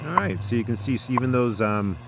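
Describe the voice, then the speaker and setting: A man casually hosting a hands-on radio demonstration in an informal, unhurried way. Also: medium pitch, creaky. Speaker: radio hobbyist video host. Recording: loud radio static and hiss behind.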